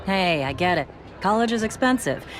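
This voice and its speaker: An actress playing a brisk, wry journalist in a video game, with a casual, sardonic way of talking. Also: female voice, mid-range voice, animated, soft background music, close to an everyday voice. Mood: wry, dismissive. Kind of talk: video game cutscene acting.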